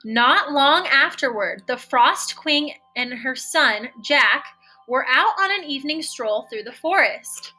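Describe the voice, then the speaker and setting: A young adult woman reading a storybook aloud with careful, expressive storytelling. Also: high-pitched, takes it slowly, faint music behind, poor audio.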